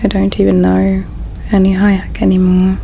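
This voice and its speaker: A woman performing a whispered ASMR roleplay as a regretful, solemn alien princess, with a breathy, hushed, halting delivery. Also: medium pitch, completely flat delivery, slight background noise. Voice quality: breathy whisper with soft creak. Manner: slow, halting, hushed storytelling.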